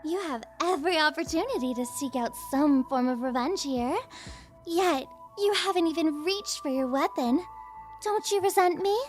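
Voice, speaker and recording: Woman doing a super high girly trickster, slow teasing asmr roleplay, coy n taunting, music behind. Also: natural voice. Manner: teasing, coy, mock-innocent, drawn-out phrasing. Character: playful, taunting young female masked trickster fool. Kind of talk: ASMR audio roleplay, scripted character acting.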